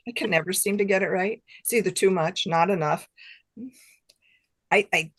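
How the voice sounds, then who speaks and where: Female interview guest, middle-aged, slightly low register, very little room sound, informal and self-deprecating. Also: fairly even intonation, moderate pace, a bit bright, no room sound. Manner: self-deprecating, chuckles between remarks. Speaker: guest author. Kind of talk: casual interview chat.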